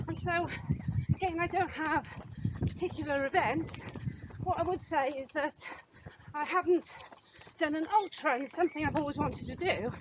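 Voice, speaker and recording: High-voiced woman in her fifties, from Wales. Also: very noisy. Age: 50s. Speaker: running channel co-host. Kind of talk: casual chat to camera.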